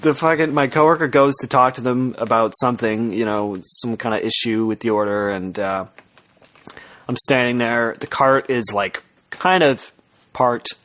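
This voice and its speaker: A young man co-hosting a podcast, telling a story to friends in a halting, self-interrupting way with casual swearing. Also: medium pitch, fairly even intonation, fairly bright tone.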